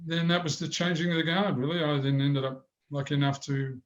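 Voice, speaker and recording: Older man, big voice, slightly high, rambling storyteller. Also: fairly even intonation, fairly quick, lo-fi sound. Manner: rambling anecdotes with many restarts. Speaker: former goalkeeper, guest. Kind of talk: storytelling interview.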